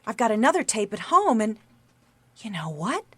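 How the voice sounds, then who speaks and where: An actress playing a young, excitable, chatty office worker in a radio drama, gushing and earnest. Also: female voice, fairly high pitch, normal speed, breathy edge, no echo, exaggerated voice. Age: young adult.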